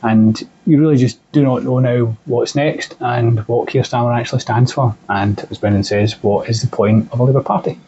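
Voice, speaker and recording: A man giving his view in a political conversation, measured and a little hesitant as he picks his words. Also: mid-range voice, steady tone, dark tone, slight background noise. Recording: dull, muffled lo-fi audio.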